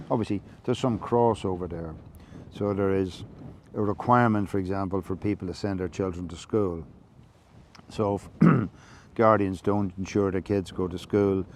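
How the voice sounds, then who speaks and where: Low-voiced Irish man musing. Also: darkish tone. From Ireland. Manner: measured, explanatory, with frequent fillers and restarts. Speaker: podcast host. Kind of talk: reflective monologue.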